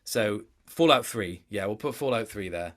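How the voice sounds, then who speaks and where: A man in his late twenties speaks as a guest in a relaxed interview. His delivery is conversational and rambling. He often doubles back to rephrase as he tells a story. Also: fairly low pitch, moderate pace. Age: late 20s. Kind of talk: casual gaming interview chat.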